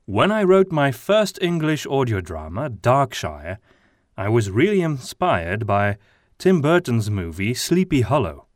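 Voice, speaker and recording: A man whose first language is Flemish, hosting a podcast and talking about his writing with many pauses and ums. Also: mid-range voice, very animated, dry recording, studio quality. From Flanders. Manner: hesitant, many ums and restarts. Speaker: podcast host and audio drama writer. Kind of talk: casual monologue, then reading his own article.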